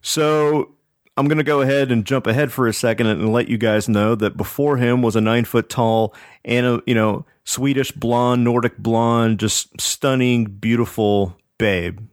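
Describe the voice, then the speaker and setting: Male podcast host, mid-pitched, jokey and slangy, casual storytelling, dry close recording. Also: steady tone, moderate pace, dry recording.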